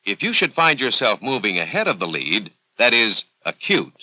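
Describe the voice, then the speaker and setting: An American man narrating a formal instructional film script in a measured, authoritative, precise manner, on an old 1970s film soundtrack. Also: fairly low pitch, very animated, no room sound. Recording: dull, dry 1970s training film soundtrack.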